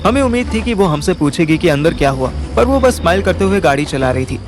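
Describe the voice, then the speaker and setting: Man acting a high-voiced wisecracking young detective narrator. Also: animated, fast talker, music in the background, natural voice. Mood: storytelling. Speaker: narrator and lead character of an audio story. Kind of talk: first-person audio story narration with acted dialogue.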